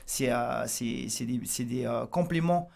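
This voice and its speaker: A man's voice: medium pitch, unhurried, vocal fry, a little mellow. A man with a Tahitian accent, a fitness coach guest on a radio interview, explaining eagerly with many restarts and fillers. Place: radio studio.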